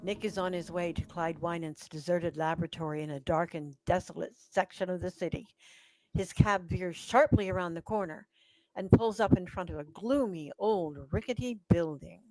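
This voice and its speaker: A woman's voice: on the low side, moderate pace, mellow, rough recording. A woman announcing and narrating a radio drama in a scripted style.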